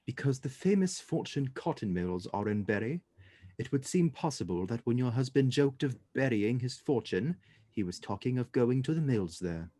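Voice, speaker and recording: Mellow, commanding gentleman detective played by a man. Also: mid-range voice, lively intonation, no echo, natural voice. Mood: composed, brisk. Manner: formal, measured, assured. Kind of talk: radio drama acting.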